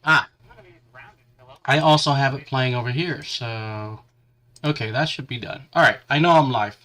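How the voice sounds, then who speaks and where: A man talks through a casual hobby livestream from his workbench, his voice bright and forward. He is still getting over a cold, with a lingering cough and a stuffy nose. His chat comes in halting bits, as his hands stay busy. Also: medium pitch. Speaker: livestream host building a model kit.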